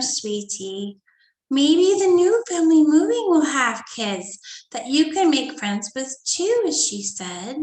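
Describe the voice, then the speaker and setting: Sing-song teacher reading to small children. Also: female voice, high-pitched. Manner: sing-song and animated, with frequent soft whispered asides. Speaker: teacher reading a story to first graders. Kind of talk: storybook read-aloud lesson for young children. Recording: echoey room.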